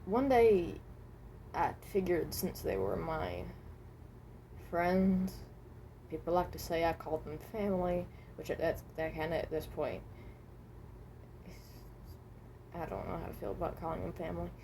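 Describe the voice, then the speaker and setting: Woman playing a halting, muted, low-voiced dimension traveler. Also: expressive, gravelly, warm tone, a little hiss or hum, poor audio. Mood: uneasy, reflective. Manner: halting, hesitant, trailing off and rephrasing. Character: hesitant, mild-mannered traveler from another dimension. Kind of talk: improvised in-character voice lines.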